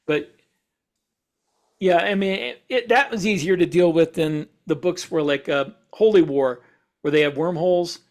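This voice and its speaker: A man's voice: on the high side, normal speed, a little mellow. A man co-hosting a podcast as an author, explaining things in casual shop talk with wandering, self-correcting sentences. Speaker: podcast host and science fiction author.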